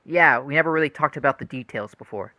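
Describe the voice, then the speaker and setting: A man's voice: medium pitch, fast talker, no room sound, highs cut off, close to an everyday voice. An actor playing an earnest, troubled young man in a radio play, hesitant and confessional. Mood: downcast.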